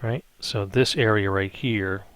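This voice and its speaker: Low-voiced man teaching math step by step. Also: steady tone, a little slow, fairly bright tone, clean tone, dry recording. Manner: thinks aloud, frequent check-in tags like "right?". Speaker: math instructor. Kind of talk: improvised step-by-step spreadsheet tutorial.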